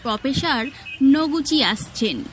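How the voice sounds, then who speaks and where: A woman playing a hurried reporter friend bringing news in an audio story. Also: high voice, expressive, fairly bright tone, slight background noise, full character voice, rough recording. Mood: urgent, informing.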